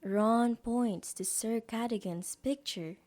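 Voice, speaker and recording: A woman's voice: on the high side, slow pace, darkish tone. A woman narrating a scripted story for an audio drama.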